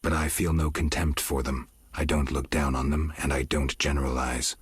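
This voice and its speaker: A man playing a stoic, gruff witcher in a video game, speaking in terse, flat, dry lines. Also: rumbling low, normal speed, no echo, exaggerated voice. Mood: calm, terse. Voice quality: gravelly, husky. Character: stoic, gruff mutant monster hunter, a grim male witcher. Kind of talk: video game dialogue acting.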